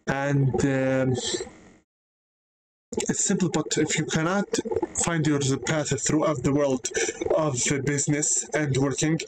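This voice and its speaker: Young man, non-native English speaker, earnest vlog monologue, hesitant, slightly high voice, noisy background. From Canada. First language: not English. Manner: earnest, frequent hesitations and self-corrections. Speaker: vlogger. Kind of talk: personal monologue.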